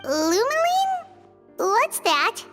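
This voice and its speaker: Squeaky little childlike companion creature. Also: female voice, huge pitch swings, extremely slow, big-room sound, over loud music, full character voice. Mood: curious. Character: small childlike companion creature, curious and cheeky. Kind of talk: video game voice acting.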